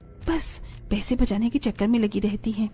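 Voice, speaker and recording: A woman's voice: medium pitch, normal speed, mellow, faint music behind, close to an everyday voice. A woman playing a lazy, resentful and defiant younger sister-in-law in a cartoon family drama. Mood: resentful grumbling.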